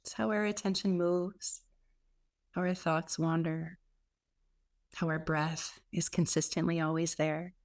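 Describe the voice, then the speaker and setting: A woman leading a guided meditation as a teacher, speaking in an unhurried way with gentle pauses between phrases. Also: lowish voice, flat delivery. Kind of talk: guided meditation, softly instructive.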